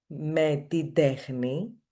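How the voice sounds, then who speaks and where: A woman's voice: sits low, steady tone, drawn-out words, dark tone. A woman with a Greek accent, a native Greek speaker, hosting a casual, playful interview. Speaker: show host.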